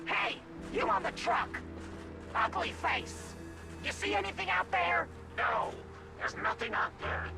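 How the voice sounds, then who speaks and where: Crude squabbling game ghoul, high and croaky, swoops all over, music behind. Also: male voice, exaggerated voice. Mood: bickering. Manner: crude, bickering. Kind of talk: video game character acting.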